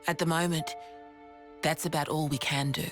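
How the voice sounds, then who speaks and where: An actress playing a frank, steady female resistance leader in a video game, with plain-spoken, measured storytelling. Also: low-pitched, close to an everyday voice. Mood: earnest. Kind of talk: video game cutscene acting. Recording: music behind the voice.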